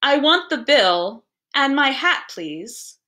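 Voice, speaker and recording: A woman's voice: sits high, sing-song, takes it slowly, bright, forceful tone, voice pushed up, exaggerated voice. A woman voicing a wary father in a storybook reading, polite but insistent and a little impatient.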